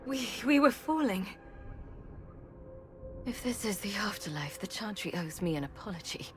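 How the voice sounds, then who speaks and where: A woman's voice: medium pitch, very animated, moderate pace, a little hiss or hum, close to an everyday voice. An actress playing a proud, defiant, grimly determined woman warrior in a fantasy video game. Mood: wary, wry.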